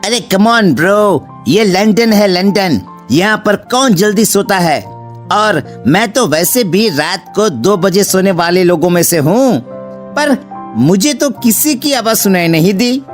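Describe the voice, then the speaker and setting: A man's voice: high-pitched, lively intonation, slow pace. A laid-back young male student from a cartoon story, acted by a man. He talks in a casual, slangy, teasing way, with faint music in the background. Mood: dismissive. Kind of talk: dramatised cartoon story dialogue.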